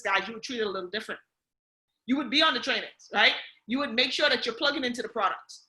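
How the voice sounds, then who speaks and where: A woman from the Bahamas speaks with a Bahamian accent as she leads a motivational training call. Her voice is bright and forceful, sitting a little high. The room around her is echoey. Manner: upbeat, coaching, repeats key points, frequent "okay?" and "guys". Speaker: team leader running a training call.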